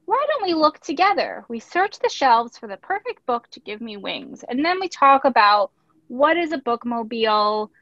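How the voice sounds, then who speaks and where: A woman's voice: a little high, very animated, normal speed, a bit bright. A woman reading a picture book aloud as a teacher, expressively, with instructive asides. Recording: echoey room, dull lo-fi audio.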